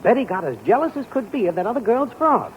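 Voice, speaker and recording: An actor playing a wisecracking, scheming neighbor in a 1940s radio sitcom, with dry and sly jokes. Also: male voice, extremely high pitch, animated, fast. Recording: dull, faintly hissy old radio broadcast.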